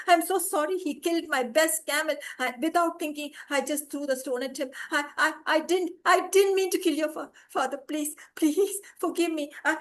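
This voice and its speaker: A woman's voice: high-pitched, a bit bright. A woman storyteller voicing a frightened camel driver who stammers and begs for mercy. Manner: stammering, begging.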